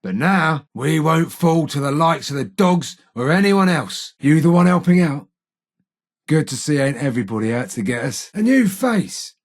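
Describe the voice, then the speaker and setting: An actor playing a cocky, menacing street-gang gunman in a video game, with a raspy voice, a working-class English accent and a taunting, slangy manner. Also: fairly high pitch, lively intonation, moderate pace. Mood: gruff, friendly. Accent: British, working-class English. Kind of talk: video game character voice lines, combat barks.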